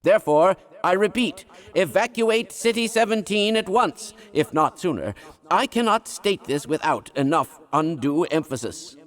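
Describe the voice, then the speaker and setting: A man's voice: high voice, lively intonation, unhurried, fairly bright tone. An actor playing an eccentric, fussy older male scientist in a video game, absent-minded yet kindly, speaking in wordy, formal, pedantic phrases. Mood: urgent, formal. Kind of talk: scripted video game dialogue.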